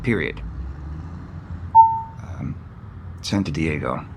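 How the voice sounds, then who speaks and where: An actor playing a caring, downcast father in an audio soap, haltingly dictating a message to his son from a car. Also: expressive, heavily acted. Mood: subdued, tender. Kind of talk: audio soap opera acting. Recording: rumbling car interior noise.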